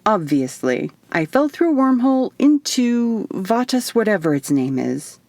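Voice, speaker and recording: A woman's voice: medium pitch, very animated, measured pace, a little mellow, no echo, voice pushed up. A woman voicing a sarcastic, skeptical young woman stranded on an alien planet, in flippant audiobook dialogue. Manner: flippant, casual.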